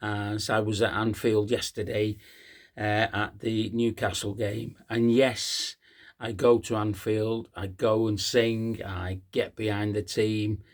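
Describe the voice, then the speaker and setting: A hoarse man, hosting a casual post-match fan talk, halting with many ums and uhs. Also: on the low side, flat delivery. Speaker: fan channel host.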